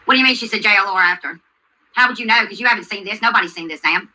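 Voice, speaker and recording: A woman's voice: medium pitch, very fast, pushed and bright, a little hiss or hum, rough recording. A woman hosting a livestream, commenting on a video and answering chat in an insistent way, repeating herself and asking questions. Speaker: livestream host.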